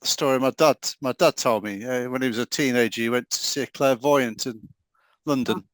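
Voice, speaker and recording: A man's voice: medium pitch, brisk, dry recording. Casual man hosting a video call. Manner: casual, chuckling, with some stumbles. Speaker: talk host and moderator.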